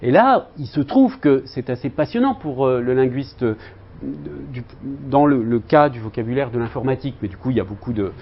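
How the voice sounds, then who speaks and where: A man's voice: medium pitch, expressive, moderate pace, gravelly, muffled recording. A man lecturing in a university linguistics seminar, talking loosely with students and hesitating often with fillers and restarts.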